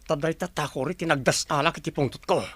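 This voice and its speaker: A man's voice: a little high, fast, fairly bright tone, breathy edge, dry recording. A man playing hot-tempered rich man Vince. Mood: angry. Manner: angry, threatening. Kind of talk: radio drama acting.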